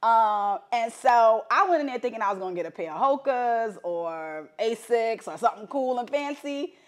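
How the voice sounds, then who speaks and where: A chatty woman hosts a relaxed interview. She talks in easy, playful asides and laughs often. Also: on the high side, normal speed. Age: 30s. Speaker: podcast host. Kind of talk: casual interview chat.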